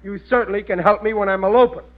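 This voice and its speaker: Casting: male actor as dim, stubborn working-class dad, high blustery voice, very narrow tinny radio sound. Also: steady tone, quick pace, lightly acted. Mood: proud, blustering. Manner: indignant, ungrammatical, boastful. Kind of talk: 1940s radio sitcom acting.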